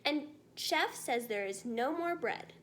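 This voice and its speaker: A woman voicing a discreet, apologetic royal butler in a hushed, deferential way, in a storybook. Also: high voice, expressive, slow pace, slight background noise, full character voice.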